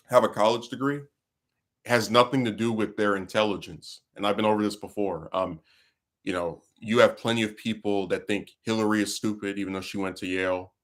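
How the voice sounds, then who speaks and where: A man hosting a casual talk stream, venting about politics in a rambling way, full of fillers and restarts. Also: lowish voice. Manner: frustrated, venting. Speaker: livestream host.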